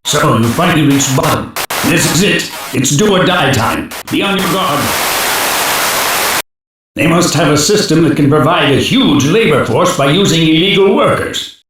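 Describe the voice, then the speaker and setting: An actor playing a stern, commanding older security chief who gives terse, authoritative briefings in an anime game. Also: male voice, on the high side, animated, moderate pace, noisy background, lightly acted. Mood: grave, businesslike. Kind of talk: English-dubbed anime game voice acting. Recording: very echoey, reverberant game audio.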